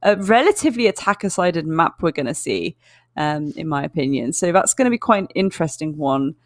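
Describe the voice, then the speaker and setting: A woman's voice: low voice, big pitch swings, no room sound. Woman casting esports, dry sound. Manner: measured, chatty, filler-heavy. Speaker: esports caster. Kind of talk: analytical esports commentary.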